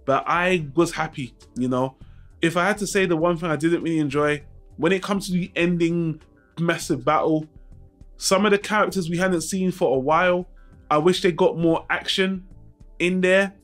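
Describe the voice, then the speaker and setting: Higher-pitched man vlogging over music. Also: normal speed, a bit bright. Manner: informal, mildly profane, frank. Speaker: YouTube channel host. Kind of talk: casual film review vlog, talking to viewers.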